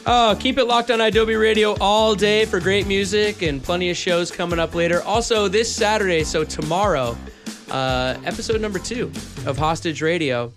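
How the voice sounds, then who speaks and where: Jokey male podcast host over music. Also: on the high side. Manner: jokey, rapid plugs and shout-outs. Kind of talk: casual chat and show sign-off.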